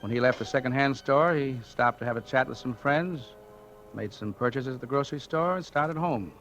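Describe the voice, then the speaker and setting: An actor playing a methodical, portentous narrator reciting an accident report in a 1940s radio drama. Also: male voice, mid-range voice, moderate pace, fairly bright tone, faint music behind, natural voice. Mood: grave. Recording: dull old radio broadcast recording.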